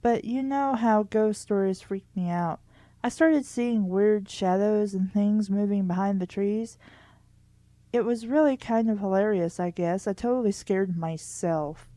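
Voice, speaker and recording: A woman's voice: mid-range voice, measured pace, mellow, no room sound, lightly acted. A woman reading as a bored, chatty twelve-year-old girl who complains dramatically in her letters, in a storybook read-aloud.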